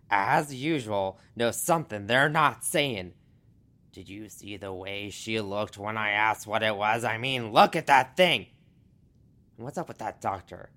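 A man's voice: fairly low pitch, pushed and bright, exaggerated voice. Chatty, gossipy space station crewman. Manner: chatty, colloquial, incredulous asides. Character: gossipy, wide-eyed low-ranking space station crewman. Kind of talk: audio fiction acting.

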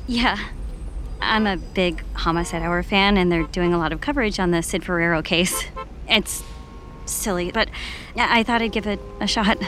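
A woman's voice: fairly low pitch, normal speed, a bit bright, a little hiss or hum, natural voice. An actress playing a mousy, eager young woman in an audio drama, hesitant and stammering as she talks. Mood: awkward, excited. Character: awkward, eager, mousy young woman, true-crime fan. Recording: faint music behind the voice.

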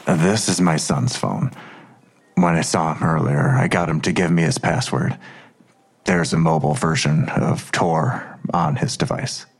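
An actor playing a guarded, middle-aged police detective and worried father in an audio drama, gravelly and terse. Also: extremely low pitch, expressive, measured pace, heavily acted. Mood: guarded, uneasy. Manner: terse, cagey.

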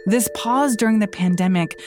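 A woman hosting a news podcast, introducing the show and interviewing a reporter in a clear, measured way. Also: mid-range voice, over music. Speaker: podcast host.

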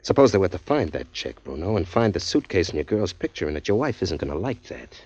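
An actor playing a streetwise, hardboiled drifter who narrates in a tough, dry, wisecracking way, in 1940s radio acting. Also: male voice, sits low, big pitch swings, fast talker, a little mellow, breathy edge, heavily acted. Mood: wry. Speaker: narrator and lead. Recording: dull lo-fi 1940s radio recording, faint music.

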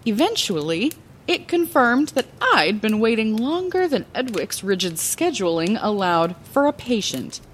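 A woman's voice: a little high, measured pace, a little hiss or hum. An actress playing an older woman lighthouse keeper who reminisces in a playful, dramatic, conversational way, like bedtime fantasy storytelling in an audio drama. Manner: expressive, conversational, theatrical.

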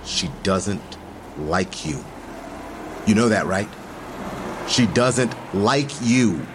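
A man's voice: lowish voice, expressive, extremely slow, background noise. An actor playing a jealous, possessive man in an audio drama, confrontational and emphatic. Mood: jealous anger. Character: jealous, indignant, possessive male suitor.

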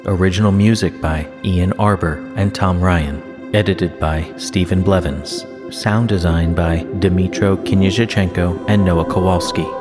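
A man's voice: low voice, fairly even intonation, unhurried, hardly any pauses. A man reading scripted credits as the announcer of an audio production. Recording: long reverb, music under the voice.

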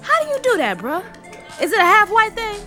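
An actress playing a brash, persuasive American hustler in an audio buddy comedy, with slangy, swaggering, teasing talk. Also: female voice, very high-pitched, huge pitch swings, a little fast, pushed and bright, soft background music, exaggerated voice. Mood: brash, goading. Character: brash, persuasive woman hustler urging friend into crime.

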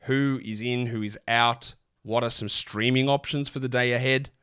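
A man, sick and croaky, hosting a casual basketball podcast with lots of rambling restarts. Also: mid-range voice, steady tone, moderate pace, pushed and bright. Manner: rambling, chatty, many fillers and restarts. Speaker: fantasy basketball podcast host. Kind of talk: casual solo podcast talk.

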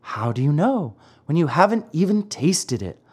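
A narrator voicing a gentle, motherly fairy in a children's storybook, loving, patient and coaxing but firm. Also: mid-range voice, sing-song, normal speed, husky, voice pushed up.

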